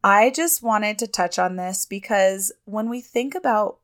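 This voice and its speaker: A woman's voice: medium pitch, measured pace. A woman in her thirties hosting a podcast in a chatty, emphatic way, a little sniffly and congested. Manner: chatty, emphatic, laughs often. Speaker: podcast host. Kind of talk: casual podcast talk.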